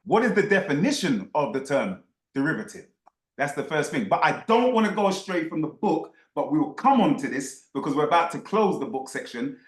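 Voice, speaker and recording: A man's voice: fairly high pitch, big pitch swings, fairly quick, echoey room. A man hosting a live online group discussion, speaking emphatically and insistently and repeating phrases for effect. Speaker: host of an online book club discussion.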